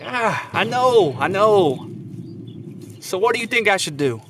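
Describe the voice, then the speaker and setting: A man's voice: high-pitched, very animated, measured pace, noisy background. A man playing an earnest, sheepish young suitor in an audio drama, devoted but a little feckless.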